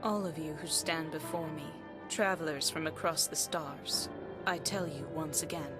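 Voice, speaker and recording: An actress playing a grave elven fortune teller in a video game, speaking in a stately, portentous and formal manner. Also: female voice, low-pitched, fairly even intonation, normal speed, over loud music, lightly acted. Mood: grave, urgent. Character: solemn elven fortune teller, grave adult woman prophetess. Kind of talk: dramatic game cutscene acting.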